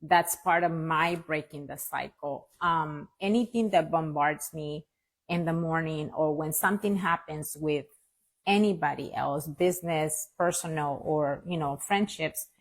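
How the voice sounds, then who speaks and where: A woman's voice: low voice, unhurried. A woman hosting a casual interview, reflective and thinking aloud with frequent fillers. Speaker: host.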